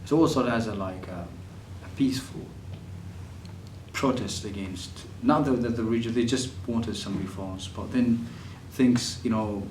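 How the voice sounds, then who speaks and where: A young man from Syria speaks, recounting his story with pauses, fillers and restarts. He sits some way from the mic in an echoey room, with faint ambient noise behind him. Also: fairly low pitch, a little mellow, distant mic. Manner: hesitant, many fillers and restarts. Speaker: refugee telling his story. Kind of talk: personal testimony.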